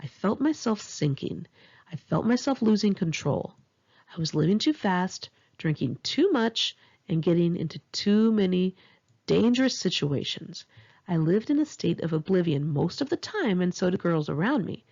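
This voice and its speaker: A Californian American woman reading her own memoir aloud in a candid, expressive, conversational way, with a husky, creaky voice. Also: lowish voice, moderate pace, highs cut off. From Los Angeles area, California, United States. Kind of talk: narrated memoir audiobook, one-take home reading.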